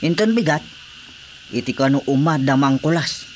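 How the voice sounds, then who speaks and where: A man as sly comic underling, very high voice for a man, eager, deferential, radio drama, faint noise. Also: animated, normal speed, lightly acted. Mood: eager, conspiring. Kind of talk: comedic radio drama acting.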